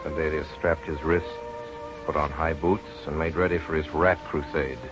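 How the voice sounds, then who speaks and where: A man's voice: a bass voice, flat delivery, normal speed. An actor in a 1930s radio drama playing an earnest, driven male doctor who tells his story in a grave, dramatic way. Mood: grave, reflective. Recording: muffled old radio recording with music.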